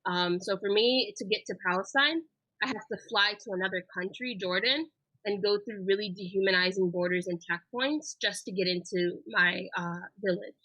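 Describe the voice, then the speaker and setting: A woman's voice: medium pitch, moderate pace, pushed and bright, no room sound, rough recording. A woman in her twenties, raised in the United States, speaking earnestly as an interview guest, with frequent ums and uhs. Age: 20s. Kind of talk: casual spoken interview.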